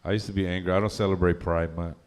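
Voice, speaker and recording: A man with a fairly low voice working through a stand-up set. His delivery is crude and rambling, full of uh and um fillers. He keeps chuckling at his own lines. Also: fairly even intonation, quick pace, a little mellow, clean tone. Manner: throws in lots of fillers like uh and um. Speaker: stand-up comedian.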